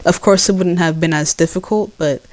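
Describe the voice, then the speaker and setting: A woman from California with a deep voice, chatting casually as she plays a game and answers her chat, rambling with many fillers and restarts. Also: fairly quick, no room sound. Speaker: gaming streamer.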